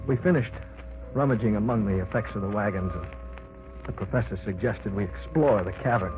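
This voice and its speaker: A man's voice: fairly low pitch, a little fast, close to an everyday voice. Man doing an eager adventurer and narrator, plain mid voice, tinny old radio, music underneath. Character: young male companion, eager, narrating. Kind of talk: 1940s radio acting.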